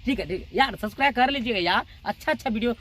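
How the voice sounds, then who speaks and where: A man's voice: extremely high pitch, lively intonation, rapid-fire, pushed and bright. A man hosting a YouTube channel with comic reaction commentary, clownish and often repeating his words and phrases.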